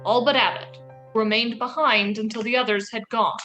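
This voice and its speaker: A woman's voice: on the high side, fairly even intonation, normal speed, bright, forceful tone, soft background music. Woman narrating an old radio drama.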